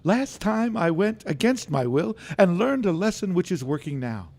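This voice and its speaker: An actor playing an anguished, fearful old miser who pleads haltingly in an old-time radio drama. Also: male voice, sits high, very animated, gravelly, darkish tone, heavily acted. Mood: awed, nervous.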